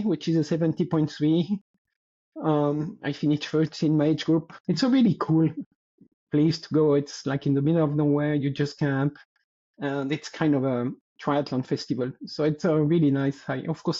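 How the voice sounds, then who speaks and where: A French-accented man of about sixty with a slightly high yet dark, mellow voice. He chats as an interview guest, hesitating and hunting for words. The recording sounds a little dull and lo-fi. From France. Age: around 60. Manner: halting, searching for words, laughing lightly. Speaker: guest athlete. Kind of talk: casual interview talk.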